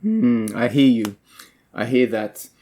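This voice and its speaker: A man asking an interview guest questions in a measured, supportive way. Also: medium pitch, sing-song, warm tone.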